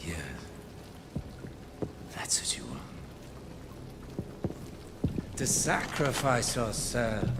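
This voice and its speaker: Evil nazi officer from a war game, slow creepy polite murmur, really noisy rainy background. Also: male voice, fairly low pitch. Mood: menacing. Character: sadistic Nazi officer, cultured, coldly amused, menacing interrogator. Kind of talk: cinematic video game acting. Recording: rain and heavy ambient noise.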